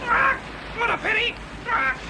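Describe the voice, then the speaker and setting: An actor voicing a cheeky pet parrot with a squawky, shrill voice in a children's audio drama. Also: sing-song, a little slow, very noisy, heavily acted. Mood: squawking, mock mournful. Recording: 1980s cassette drama, music behind.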